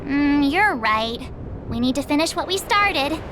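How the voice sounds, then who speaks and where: A woman's voice: high voice, lively intonation, a little slow, noisy background. A woman voicing a perky, impulsive, talkative young adventurer girl in an anime-style game, with a bubbly, informal delivery. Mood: curious, insistent. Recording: game music behind the voice.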